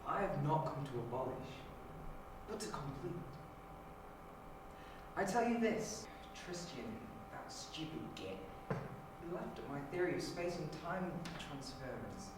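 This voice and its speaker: An aggrieved, ambitious Time Lord, acted by a man in audition declaims bitterly in a fairly high voice. It is an audio drama reading with heavy echo and clear noise. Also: sing-song, unhurried. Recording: very echoey, distant, noisy room.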